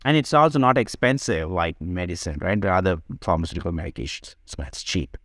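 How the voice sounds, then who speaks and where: A man's voice: low voice, animated, brisk, a bit bright, dry recording, clean studio sound. A man giving conversational interview answers as a podcast guest, explaining things and often checking in with a "right?". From Sri Lanka. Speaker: podcast guest, digital health company co-founder.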